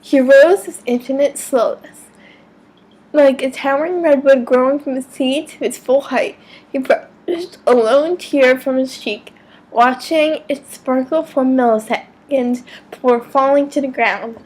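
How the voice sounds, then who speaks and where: A woman giving a mock-dramatic amateur story reading, theatrical and deliberate, now and then stumbling over words. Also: high-pitched, a little mellow, slightly rough, low-quality recording. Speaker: amateur reader of fan fiction.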